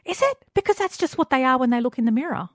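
A woman's voice: high voice, animated, quick pace, no room sound, clean studio sound. A young adult woman with an Australian accent, a guest author speaking candidly and emphatically in an interview. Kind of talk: conversational interview.

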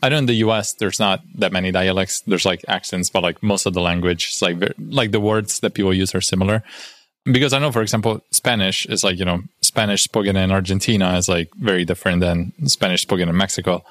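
Very low-voiced man asking a rambling question. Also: steady tone, fast, a bit bright, no echo. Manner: rambling, hedging with "like".

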